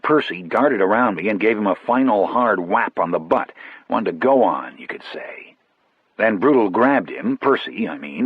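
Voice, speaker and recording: A man's voice: on the low side, breathy edge, dry recording, muffled recording. A man narrating an audiobook in the first person, as a storyteller.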